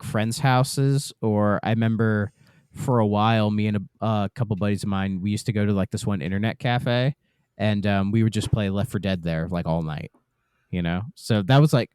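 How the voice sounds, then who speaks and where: A laid-back podcast host with a smooth, resonant voice pitched a little low chats about old games. His delivery is relaxed and hedging, and the dry close mic leaves no room echo. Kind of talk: casual gaming chat.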